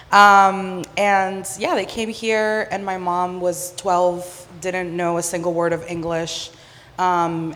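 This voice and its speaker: Low-voiced woman from the Dominican Republic. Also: in a large hall. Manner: earnest, many fillers. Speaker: guest. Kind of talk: radio interview. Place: community radio studio.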